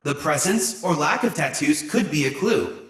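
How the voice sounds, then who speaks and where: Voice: medium pitch, expressive, moderate pace, fairly bright tone, slightly rough, no echo. An actor voicing an earnest, brainy boy-like android assistant in a video game, youthful-sounding and speaking in formal, precise, bookish phrases. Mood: thoughtful. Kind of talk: video game voice acting, scripted dialogue.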